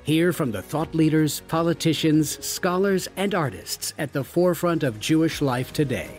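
A man reading a scripted podcast promo in a measured announcer style. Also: fairly high pitch, a little mellow, soft background music.

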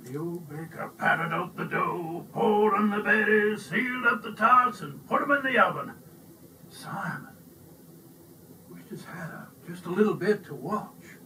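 A man narrating a children's storybook aloud in an animated, performed style. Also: sits high, measured pace. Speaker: storybook reader. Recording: distant mic with faint noise.

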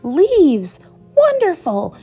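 A woman voicing a greedy, bouncy male squirrel with high, gleeful exclamations. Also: wildly swooping pitch, drawn-out words, warm tone, faint music behind, exaggerated voice.

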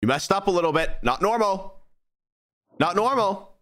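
A man's voice: high voice, animated, moderate pace, a bit bright. A young man streaming a horror game, giggling and making wry comments back at the screen.

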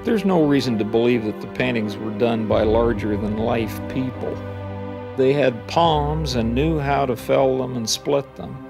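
A middle-aged historian speaks in a thoughtful, explanatory way with a gravelly edge to his voice. Music plays clearly beneath him, as in an old documentary. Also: medium pitch, measured pace. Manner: thoughtful, explanatory, some fillers. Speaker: historian interviewed. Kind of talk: explanatory interview. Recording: voice under background music.

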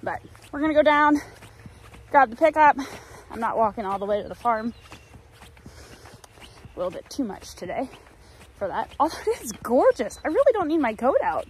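A woman's voice: on the high side, very animated, moderate pace, pauses often, a little hiss or hum. Woman farmer, 30s, casual vlog to a handheld phone outdoors; chatty, rambling, a little worn out. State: sore and tired. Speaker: farmer vlogger. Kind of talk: casual vlog chat to camera. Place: outdoors on a farm, by fields and a cattle barn. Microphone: phone held at arm's length.

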